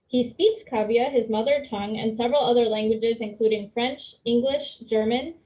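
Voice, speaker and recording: A woman's voice: on the high side, normal speed, warm tone, highs cut off. A woman with a raised voice hosts a virtual meeting, thanking speakers and introducing guests graciously. She talks over a video call. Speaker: event host.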